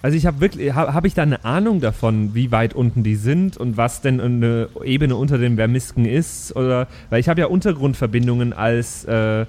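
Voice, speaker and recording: A man's voice: medium pitch, fairly quick, hardly any pauses, darkish tone. A man talking casually as a player in a tabletop role-playing game.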